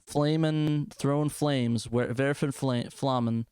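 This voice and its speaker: A man's voice: medium pitch, steady tone, takes it slowly, a little mellow, clean tone, dry recording, studio quality. A man hosting a casual group chat about games in a hesitant, jokey way. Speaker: host of a gaming video show.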